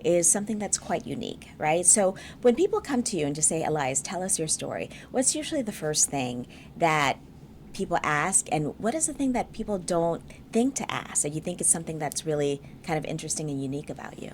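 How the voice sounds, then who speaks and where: A woman with a low-pitched voice hosts an interview in a studio. She speaks in an encouraging, conversational way. A faint layer of ambient noise sits behind her. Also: very animated, brisk. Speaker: interview show host. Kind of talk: studio interview with scripted introduction.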